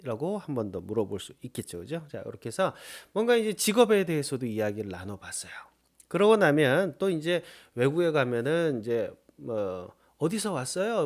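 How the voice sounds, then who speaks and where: A man from Korea teaching an English lesson, who keeps checking in with his listeners and says his English model phrases slowly and deliberately. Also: a little high. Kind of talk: lively recorded English lesson.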